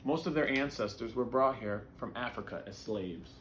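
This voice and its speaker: A man's voice: mid-range voice, moderate pace. A man reading a children's picture book aloud as its narrator. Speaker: read-aloud reader. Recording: roomy echo, noticeable background noise.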